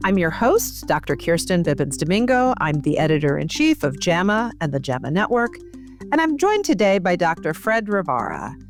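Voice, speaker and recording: A woman with an American accent and a clear, smooth voice, hosting a conversational interview. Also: lowish voice, big pitch swings, moderate pace, faint music behind. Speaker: podcast host and journal editor-in-chief.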